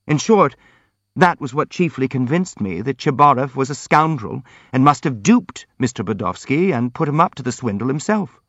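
Man voicing an earnest, gentle young prince. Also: mid-range voice, expressive, moderate pace, no echo, lo-fi sound, voice pushed up. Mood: hasty, apologetic. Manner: polite, earnest, hastily apologetic.

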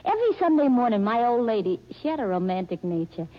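A woman's voice: on the high side, sing-song, fast. An actress playing a brash, chatty young working-class woman in a 1940s radio drama, slangy and wisecracking. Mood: breezy. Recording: dull old radio recording.

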